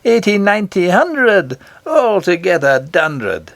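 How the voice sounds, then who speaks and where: A brave young miner boy from a storybook, acted out by a man, pitched high and pushed louder. He chants with bold defiance in a bouncing, sing-song rhythm, in a dry, close sound. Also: very animated, slow, creaky, no room sound, full character voice. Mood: defiant chanting. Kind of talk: children's storybook character voice.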